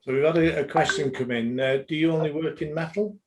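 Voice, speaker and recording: A middle-aged man hosting a live-streamed business interview, asking questions and passing on viewer messages in a halting, friendly way. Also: a little high, steady tone. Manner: halting, friendly, many fillers. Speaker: host of a live business showcase.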